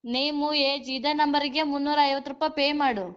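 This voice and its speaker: Brief: high, rapid-fire woman recruiter, pushy sales pitch, muffled phone line. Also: fairly even intonation, a bit bright. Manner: pushy, repeats "okay?" to hurry the listener. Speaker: job recruiter on a phone call. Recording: muffled phone call recording.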